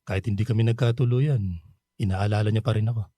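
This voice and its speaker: An actor playing a kindly, bashful middle-aged Filipino bachelor in a radio drama, talking gently and considerately. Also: male voice, on the low side, fairly even intonation, fast talker, dry recording, natural voice. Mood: bashful, amused.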